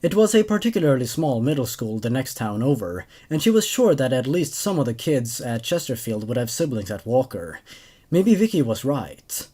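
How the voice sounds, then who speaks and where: A man in his twenties hosting and narrating horror stories in an expressive, animated way, recorded indoors at a desk microphone. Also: medium pitch, moderate pace, breathy edge. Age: 20s. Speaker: host of a horror story reading show. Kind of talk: scripted horror narration with casual commentary. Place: small room at home, indoors. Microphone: large condenser desk microphone.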